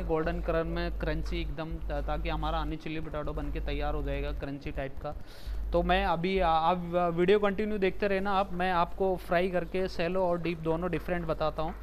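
Chef explaining steps over sizzling pans. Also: male voice, high voice, slight background noise. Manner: explains step by step, mixing English words into Hindi. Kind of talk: cooking demonstration. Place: commercial kitchen. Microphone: lapel.

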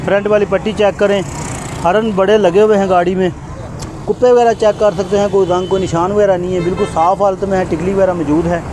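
A man from Pakistan hosting a used-car video, giving brisk, repetitive salesman patter as he walks around cars at an outdoor roadside bazaar. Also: sits high, steady tone. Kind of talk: walkaround car sales commentary. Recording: noisy street outdoors.

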